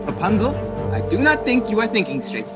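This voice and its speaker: A woman's voice: medium pitch, sing-song, fairly quick, loud music behind the voice, lightly acted. A woman playing a lovestruck, overprotective fairy-tale prince in a radio comedy, pleading and melodramatic. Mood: worried, pleading. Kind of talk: irreverent radio play acting.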